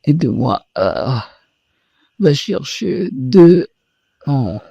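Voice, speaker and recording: A man's voice: fairly high pitch, big pitch swings, very slow, vocal fry, dark tone, slightly rough, dry recording, muffled recording, exaggerated voice. A man playing a whiny, groaning injured cartoon victim who cries out in pain and pleads. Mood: pained pleading. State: crying out in pain. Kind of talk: cartoon dialogue.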